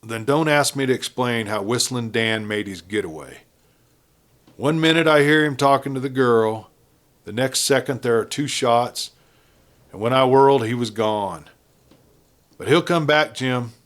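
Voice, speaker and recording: A man's voice: mid-range voice, close to an everyday voice. A man voicing a wary, principled outlaw lieutenant in a Western story, with guarded, earnest cowboy speech. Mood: firm.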